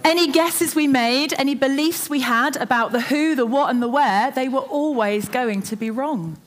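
A woman's voice: high voice, moderate pace. A woman giving a sermon in church into a microphone, opening with a chatty, self-deprecating story. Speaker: preacher.

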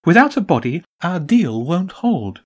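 A man voicing a proud female cat ruler who speaks calmly and with bored scorn. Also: on the high side, unhurried, voice pushed up. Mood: scornful.

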